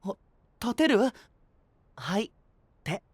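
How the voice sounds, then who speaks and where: 物静かで真面目な若い海の王子. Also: male voice, sits high, wildly swooping pitch, very slow, slightly rough, exaggerated voice. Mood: caring. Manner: soft, introspective, earnest. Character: gentle young male prince of a sea kingdom. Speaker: voice actor. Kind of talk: anime voice drama.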